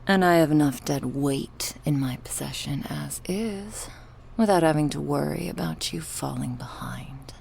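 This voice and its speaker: A woman's voice: deep voice, vocal fry, a little mellow, a little hiss or hum, full character voice. A woman playing a cruel, sadistic goddess who taunts sarcastically in a horror ASMR roleplay. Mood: sarcastic. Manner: taunting, sarcastic, slow and drawn out with sighs. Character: sadistic goddess, mocking, cruel, playfully menacing captor.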